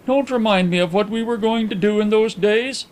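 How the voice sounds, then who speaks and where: An excitable, proud gentleman, played by a male narrator, pitched high and swinging widely. He is touchy and emphatic, with a stiff formality, like a character in a storybook reading. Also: normal speed. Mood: agitated, incredulous. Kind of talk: audiobook character acting.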